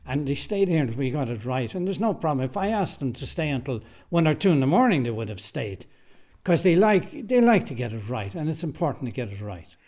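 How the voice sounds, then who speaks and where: A man's voice: mid-range voice, very animated, fairly quick, a little mellow. An Irish man who writes and directs radio drama, answering interview questions casually and emphatically, often repeating his phrases, in a recording studio.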